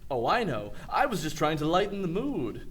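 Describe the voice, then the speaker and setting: A man's voice: on the high side, very animated, moderate pace, fairly bright tone, a little hiss or hum. A man playing a breezy, reassuring superhero in a comedy radio drama.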